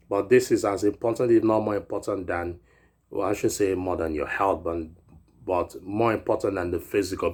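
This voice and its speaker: A man in his thirties from Europe, a fitness vlogger giving a chatty motivational talk that often ends with "right?". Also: fairly low pitch. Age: 30s. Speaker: fitness YouTuber. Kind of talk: casual motivational vlog talk.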